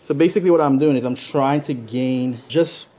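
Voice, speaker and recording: A man's voice: medium pitch, moderate pace, dark tone. A middle-aged man working as a chiropractor, casually instructing a patient and checking in as he goes. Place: spacious indoor treatment studio. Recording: noisy room recording.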